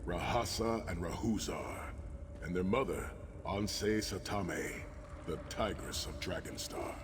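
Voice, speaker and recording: An actor playing a solemn, honour-bound warrior in a video game, declaiming gravely and formally. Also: male voice, sits low, slow, noisy background. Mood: solemn, reverent. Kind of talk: dramatic video game acting. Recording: long hall reverb, loud game music.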